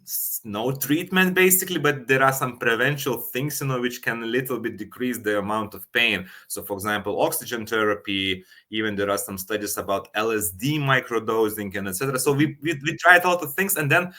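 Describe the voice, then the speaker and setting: A man from Lithuania with a Lithuanian accent, answering as an interview guest in halting non-native English with repeated words. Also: mid-range voice, bright, forceful tone. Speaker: guest, company co-founder and sleep coach.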